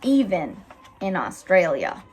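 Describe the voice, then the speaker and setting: A woman's voice: lowish voice, slightly rough, soft background music. A woman reading a picture book aloud expressively as a teacher, stopping to put simple questions to a child. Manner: animated, pauses to ask simple questions.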